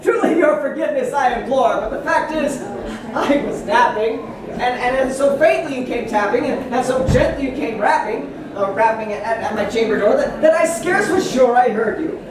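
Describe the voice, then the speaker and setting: A man playing a haunted, melancholy 19th-century gentleman poet, giving a dramatic, halting theatrical recitation of verse. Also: very high-pitched. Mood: nervous, apologetic. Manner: dramatic, halting with repeated words. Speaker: performer reciting poetry. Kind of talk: theatrical live poetry recitation. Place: large indoor ballroom with audience. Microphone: distant camera recording. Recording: echoey hall from far off, audience noise. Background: audience crowd murmur.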